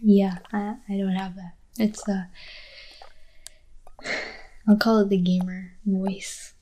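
Slow, mellow-voiced woman half-whispering. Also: mid-range voice, little pitch movement. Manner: rambling, drifts between soft voice and whisper. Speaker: ASMR creator. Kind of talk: soft-spoken ASMR chat. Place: apartment at night.

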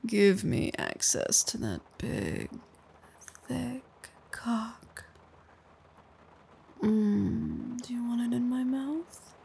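A woman murmurs and whispers close and low, drawing out each phrase very slowly. Her voice sits a little high, with a gravelly fry under the whispers. Between lines she hums softly, her tone coaxing and full of praise. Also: very animated. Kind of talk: intimate whispered audio roleplay.

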